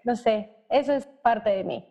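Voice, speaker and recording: A woman's voice: a little high, unhurried, gravelly, mellow. A young Mexican woman with a Mexican accent, introducing herself as a podcast guest in a bubbly way and laughing through her words. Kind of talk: casual self-introduction. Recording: dull lo-fi audio.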